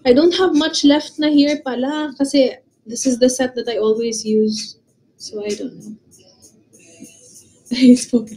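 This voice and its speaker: A young woman with a Filipino accent chats on a phone live stream, greeting viewers by name. Her voice sits high, and she speaks at an unhurried, easygoing pace. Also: steady tone, mellow, faint music behind, poor audio. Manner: chatty, greets viewers, mixes English with Tagalog. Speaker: livestreamer. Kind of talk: casual live-stream chat. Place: her bedroom, indoors.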